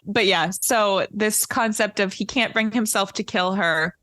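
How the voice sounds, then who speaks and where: A woman's voice: mid-range voice, a little slow, no room sound. A woman chatting casually and enthusiastically as a podcast guest, telling stories with lots of "like" and asides. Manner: animated storytelling with frequent "like" and asides.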